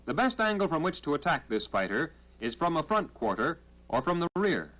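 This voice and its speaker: American man, 1940s training film narration, highish voice, very varied intonation, formal, measured, instructional, vintage film soundtrack. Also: very animated, fairly bright tone, rough recording.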